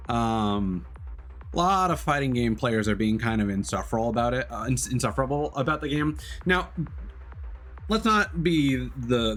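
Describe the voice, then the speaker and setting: A man's voice: medium pitch, a bit bright. A man giving casual live-stream gameplay commentary, explaining things conversationally and thinking aloud. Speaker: video game streamer. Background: game music.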